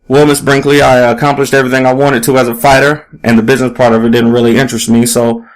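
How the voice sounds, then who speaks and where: Man playing a guarded ex-champion boxer, medium pitch. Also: flat delivery, quick pace, lightly acted, poor audio. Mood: matter-of-fact. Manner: matter-of-fact, evasive. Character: tall muscular retired male boxing champion, guarded, proud, amused.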